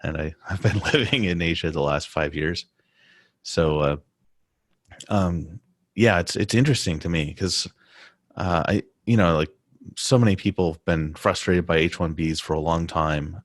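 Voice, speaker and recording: Very deep-voiced man, halting and chatty. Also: no room sound, clean studio sound. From United States. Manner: halting, many restarts and fillers. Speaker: podcast co-host. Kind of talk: casual conversation.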